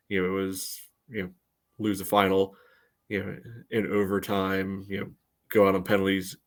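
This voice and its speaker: A man co-hosting a casual sports podcast, talking in a halting, hedging, thoughtful way. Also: low-pitched, a bit bright. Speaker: podcast co-host, club historian.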